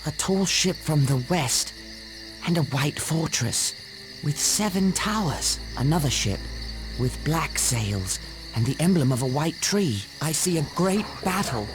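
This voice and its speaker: A man playing a gentle, troubled young hobbit in a fantasy video game, speaking earnestly and formally. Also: a little high, expressive, slow pace, music in the background, poor audio. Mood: awed, fearful.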